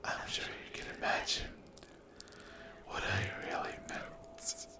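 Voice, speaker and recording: A man's voice: slow, close to an everyday voice. A man voicing a cruel, possessive sleep paralysis demon in an ASMR roleplay, speaking in a menacing, condescending, whispery drawl. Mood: taunting, sinister.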